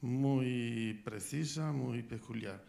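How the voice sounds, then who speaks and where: A man from Italy speaking Spanish with an Italian accent, asking a halting question at a press conference as a journalist. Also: medium pitch, dark tone. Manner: halting, searching for words, clears throat.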